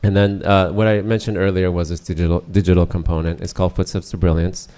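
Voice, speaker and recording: Middle-aged man, deep and mellow, monotone, hesitant; presenting at a board meeting. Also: fast talker. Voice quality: monotone, many uh fillers. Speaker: school district presenter.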